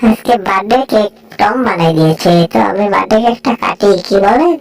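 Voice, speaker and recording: A woman's voice: mid-range voice, fairly quick, natural voice. A woman voicing a chatty, grumbling grown-up household character in a homemade cartoon, rambling and exclaiming. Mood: announcing. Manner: rambling, lamenting, full of stock exclamations.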